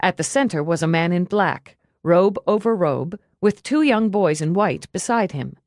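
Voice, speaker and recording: Low-voiced woman narrating an audiobook, dry sound. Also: animated, moderate pace, a little mellow, no room sound. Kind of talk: scripted audiobook narration with character dialogue.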